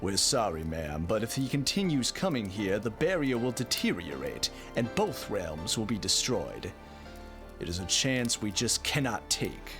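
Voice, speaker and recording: A man playing a stern, formal high councilman of a dream realm in an audio drama, speaking in a measured, official way. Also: very animated, over music. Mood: solemn decree.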